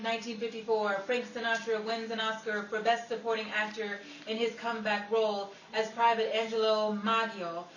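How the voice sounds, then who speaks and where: Echoey distant recording, woman playing brisk sassy showgirl presenter, sharp bright voice, old-time radio delivery. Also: on the high side, dull audio, slight background noise, close to an everyday voice. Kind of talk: old-time radio comedy acting and newsflash narration.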